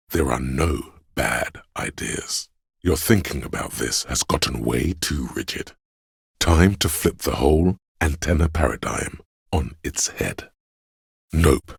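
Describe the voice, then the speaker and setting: A super deep husky inner voice for a video game, arty and grandiose, theatrical narration, dry close sound. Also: male voice, dry recording, full character voice. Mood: musing, encouraging. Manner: measured, theatrical, savoring vivid imagery. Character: inner voice of creative imagination, musing, arty, grandiose. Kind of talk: dramatic second-person game narration.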